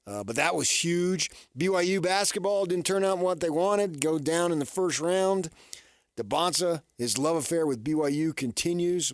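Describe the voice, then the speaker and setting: A man's voice: medium pitch, no room sound, studio quality. A man hosting a sports radio show, talking casually in a folksy, conversational way and often tossing out rhetorical questions.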